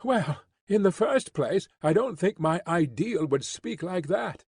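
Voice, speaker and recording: A man doing a lofty, idealistic young woman, slow and earnest, gently chiding, her husky voice lilting high and low in an audiobook, her tone raised a little above his own. She sounds dry and close. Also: animated, dry recording, heavily acted.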